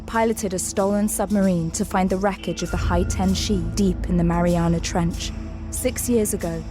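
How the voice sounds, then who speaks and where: A woman narrating a sci-fi audio drama from a script. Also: lowish voice.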